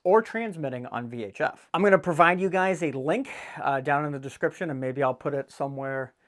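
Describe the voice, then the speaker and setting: A man's voice: a little high, fairly quick. A man hosting a casual how-to video, with a blunt, irreverent, joking and sweary manner, talking straight to viewers in a folksy way. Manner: folksy, addresses viewers directly. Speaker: host of a boating DIY video channel. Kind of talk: casual instructional vlog. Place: indoors in an office.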